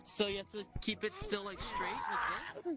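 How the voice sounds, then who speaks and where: A man's voice: high voice, very animated, normal speed, over loud music, poor audio. An American man, a player in the game lobby, patiently explaining to the host how to fly in casual chat. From United States. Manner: patient, instructive.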